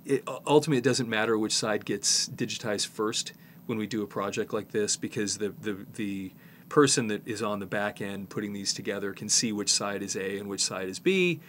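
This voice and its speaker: A man in his fifties with a fairly low voice and a slight head cold talks through a hands-on job. He is informal and halting, explaining as he works, with noticeable background noise around him. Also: fairly bright tone. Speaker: presenter, tape repair technician. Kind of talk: casual hands-on tutorial.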